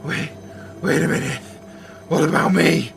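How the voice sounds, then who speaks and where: A man acting an exhausted, betrayed and angry soldier in an audio drama, with a gravelly voice. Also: fairly high pitch, unhurried, fairly bright tone, faint music behind, heavily acted. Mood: indignant. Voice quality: gravelly, creaky.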